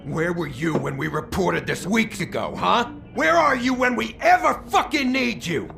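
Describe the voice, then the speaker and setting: A bitter, hot-tempered brawler in a video game. His gravelly voice swings widely as he accuses and curses, with clear music behind him. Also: high voice, lively intonation, moderate pace, sharp tone, exaggerated voice. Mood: furious. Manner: cursing, accusatory.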